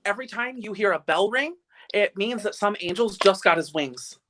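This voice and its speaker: A man's voice: sits high, expressive, moderate pace, sharp tone, slight background noise. Guy playing a sweet innocent old angel, second class, quaint and earnest, old radio drama style. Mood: guileless. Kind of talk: old-time radio play live reading.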